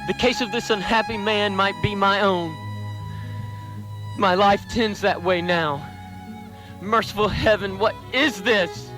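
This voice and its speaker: A man's voice: high voice, a little slow, long pauses, music in the background, rough recording. An actor playing a haunted, awestruck man in a radio play, solemn and anguished. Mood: horrified.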